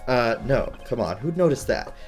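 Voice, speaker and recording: A man's voice: medium pitch, animated, moderate pace, darkish tone, faint music behind, lightly acted. A man voicing a nervous, earnest young defense lawyer in a video game, stammering and exclaiming. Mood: flustered disbelief. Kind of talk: video game dialogue read aloud.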